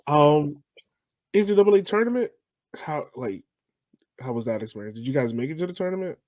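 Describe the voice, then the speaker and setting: A man's voice: a little high, lively intonation, brisk, a little mellow, dry recording. A man with an American accent, interviewing as a podcast host in an informal, joking, teasing way. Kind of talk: casual conversational interview.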